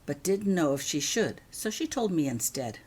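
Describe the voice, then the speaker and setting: A woman voicing a calm, kindly grown man in a quiet, conversational way for a story. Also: low voice, normal speed, husky, heavily acted. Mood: calm, reassuring.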